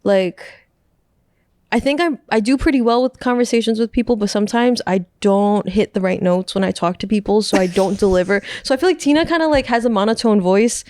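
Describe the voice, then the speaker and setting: A woman from New York City talks in a loose, rambling way, often breaking into laughter and fading quietly at the ends of her thoughts. Her voice sits at an ordinary middle pitch. It is recorded on a close mic with no room sound. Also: fairly quick, dry recording. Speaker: podcast guest. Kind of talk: casual chat.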